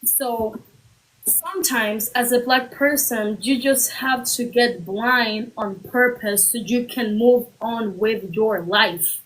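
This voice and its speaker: A woman joining a livestream discussion, making her case steadily and deliberately, building her points by repetition. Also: on the high side, a bit bright, poor audio. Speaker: livestream guest. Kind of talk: earnest argument on a livestream.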